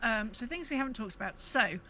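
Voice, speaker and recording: A woman's voice: fairly high pitch, animated, a little fast, bright, forceful tone, slight background noise, rough recording. A woman co-hosting a casual gaming podcast, chatting in an informal, upbeat way. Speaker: podcast co-host.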